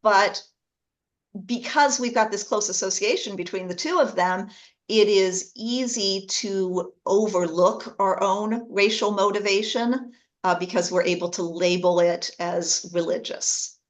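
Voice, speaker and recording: A woman's voice: mid-range voice, measured pace. A woman presenter answering an audience question in an academic discussion, careful and thoughtful in her wording.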